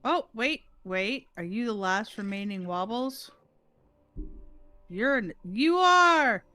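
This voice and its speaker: Brief: woman co-player on stream, slightly high voice, drawls her words, easygoing. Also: lively intonation, bright, forceful tone. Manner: laid-back, drawn-out delivery, light joking. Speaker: co-player on a livestream. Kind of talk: casual gaming chat.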